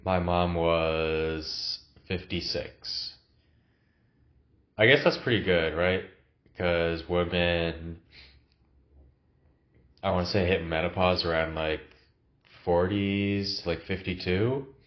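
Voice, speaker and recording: A man's voice: sits low, steady tone, slow pace, pauses often, highs cut off. A man with a cough, recording a casual, reflective vlog in his new apartment, talking hesitantly with many fillers. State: has a cough. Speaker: vlogger.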